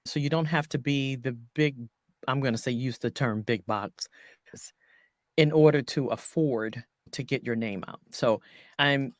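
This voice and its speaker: A woman voiceover artist talking through her business in an interview, halting often and correcting herself mid-sentence. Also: rumbling low, no room sound, studio quality. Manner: halting, many restarts and self-corrections. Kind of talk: conversational business coaching interview.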